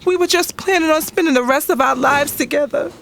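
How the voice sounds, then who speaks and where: A woman's voice: high-pitched, brisk, gravelly, fairly bright tone, slight background noise. A woman playing a heartbroken young lover in an audio drama, pleading and insistent. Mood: confused, hurt. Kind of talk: audio drama acting with first-person narration.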